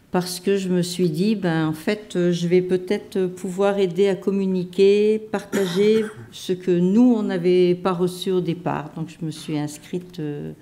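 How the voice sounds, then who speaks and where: A woman caregiver giving a measured, hesitant personal testimony at a conference round table. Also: fairly low pitch, mellow. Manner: measured and hesitant, many pauses and restarts. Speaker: caregiver panelist.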